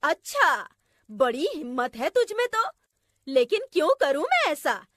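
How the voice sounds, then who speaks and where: A woman's voice: sits high, big pitch swings, unhurried, fairly bright tone, dry recording, studio quality, heavily acted. A woman voicing a wicked, scheming forest witch with a taunting manner in a children's cartoon story. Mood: mocking. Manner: taunting, cackling.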